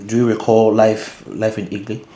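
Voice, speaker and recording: A man's voice: fairly low pitch, level tone, a little fast, mellow. A man hosting a conversational interview, repeating words as he phrases his questions. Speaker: interview host. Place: guest's home, indoors. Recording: roomy indoor echo.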